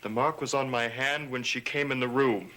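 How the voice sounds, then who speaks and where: An actor playing an anguished young man under a family curse, halting and defensive, in a 1960s gothic soap opera. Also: medium pitch, fairly even intonation, bright, forceful tone, a little hiss or hum, natural voice, rough recording. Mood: confused, uneasy.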